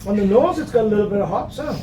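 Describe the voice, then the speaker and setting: A man's voice: sits high, lively intonation, rapid-fire, music in the background. A man, from Newcastle, hosting a casual beer tasting, chatty and jokey with lots of fillers. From Newcastle, England. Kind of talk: casual chat. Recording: echoey room, distant mic, crowd chatter.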